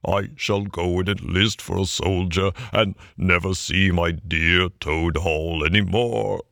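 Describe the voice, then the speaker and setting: A man's voice: low-pitched, a little slow, creaky, pushed and bright, no echo, studio quality, full character voice. A man voicing a boastful, vain, self-dramatising male toad in a storybook, with a puffed-up, swaggering delivery. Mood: sobbing. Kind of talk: storybook character voice.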